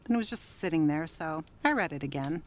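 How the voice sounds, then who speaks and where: An actress playing Jerry, a troubled, wistful, newly sober wife in a 1930s radio drama. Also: female voice, sits low, animated, brisk. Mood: chatty, wry.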